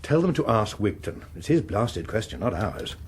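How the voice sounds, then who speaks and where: An actor playing a suave, sharp-tongued chief whip in a British political radio drama, with an English accent and a brisk, commanding, sarcastic manner. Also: male voice, lowish voice, big pitch swings, breathy edge, full character voice. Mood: exasperated.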